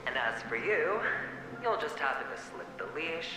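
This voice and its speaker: An actor playing a gambler's taunting, weary shadow self in a video game, theatrical and breathy, drifting into whispers. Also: male voice, fairly high pitch, very animated, normal speed, noisy background. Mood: mocking, theatrical. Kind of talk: dramatic video game voice acting. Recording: heavy echo under loud game music.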